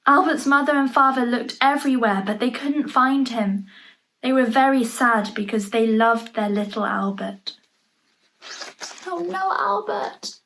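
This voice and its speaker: A woman reading a picture book aloud for young children, playfully expressive, adding chatty asides and questions about the pictures, in a noisy home recording. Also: a little high, moderate pace. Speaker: storybook reader for young children. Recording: noticeable background noise, home online recording.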